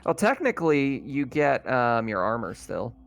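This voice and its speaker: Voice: mid-range voice, sing-song, moderate pace, vocal fry. A game master explaining rules and outcomes conversationally while running a tabletop roleplaying game.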